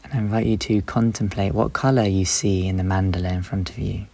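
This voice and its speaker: A man guides a meditation in a low voice with very little melodic movement. He gives gentle step-by-step instructions in short, unhurried phrases, leaving long pauses between them. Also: steady tone, vocal fry.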